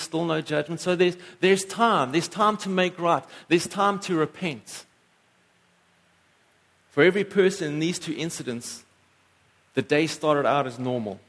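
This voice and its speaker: A pastor preaching a sermon in church, earnest, lifting and dropping his voice for emphasis. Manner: earnest, rises and falls in pitch for emphasis.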